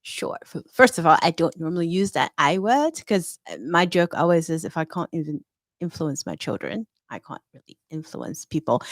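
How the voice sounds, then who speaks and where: A middle-aged woman from Hong Kong talks as an interview guest, candid and confident, telling stories from her career. Her voice sits fairly low and the sound is dry and close. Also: no echo. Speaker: guest, fintech consultant. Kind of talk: interview conversation.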